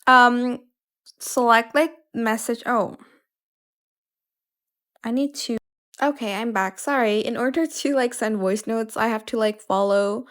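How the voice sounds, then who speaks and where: Higher-voiced woman giving a casual tutorial. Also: unhurried, pauses often, fairly bright tone. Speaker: tutorial host. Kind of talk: casual how-to tutorial narration.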